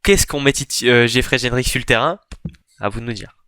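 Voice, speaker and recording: French man, smooth close voice. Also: medium pitch, brisk, sharp tone, no room sound. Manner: hesitant, thinking aloud with fillers. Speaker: podcast co-host. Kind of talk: casual sports chat.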